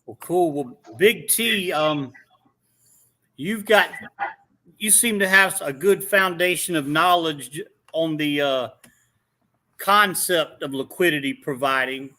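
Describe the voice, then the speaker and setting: A man's voice: a little high, slow, pauses often, bright, forceful tone. A man in his late fifties hosting a casual chat, laid-back and a bit hesitant. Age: 50s. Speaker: host.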